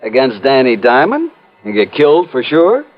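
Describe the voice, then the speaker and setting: A man's voice: mid-range voice, huge pitch swings, moderate pace. An actor playing an earnest insurance man giving a briefing, matter-of-fact and emphatic, in 1950s radio drama style. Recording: dull vintage radio recording.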